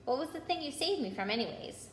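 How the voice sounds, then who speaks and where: A shaken young schoolboy played by a woman asks things hesitantly in a storybook tale. The voice is high and sits in an echoey room with light noise. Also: expressive, quick pace. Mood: puzzled. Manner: questioning, hesitant. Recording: roomy echo with noise.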